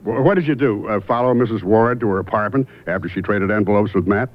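An actor playing a young, shrewd investigator in a radio mystery, halting and deliberate as he narrates and probes. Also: lowish voice, lightly acted. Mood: probing, accusing. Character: young male investigator, shrewd, hesitant, narrating his case. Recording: muffled vintage radio recording, music behind.

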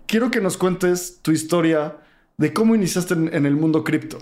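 A man's voice: on the high side, normal speed, a little mellow. A man co-hosting a podcast, asking questions in a friendly, somewhat hesitant way. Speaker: podcast co-host. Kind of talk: podcast interview.

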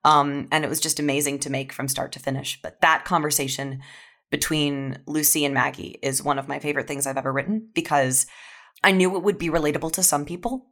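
Young female writer as podcast guest; low voice, candid, earnest, casual reflective talk. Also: fairly even intonation, a little fast, a bit bright.

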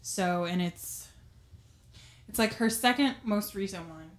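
A young woman co-hosting a podcast in casual chat, a little hoarse and wheezy, talking with plenty of ums and likes. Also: medium pitch, takes it slowly. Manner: chatty, lots of "um" and "like". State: losing her voice, wheezy. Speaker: podcast co-host.

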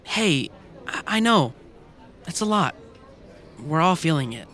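An actor playing a well-meaning male office coworker, halting and reassuring, in an audio drama. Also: a little high, very animated, fairly bright tone, full character voice. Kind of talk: naturalistic audio drama acting.

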